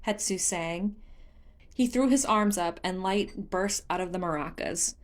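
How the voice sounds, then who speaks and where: A woman's voice: fairly low pitch, a little slow. A woman reading scripted story narration as the narrator of a fan fiction audiobook.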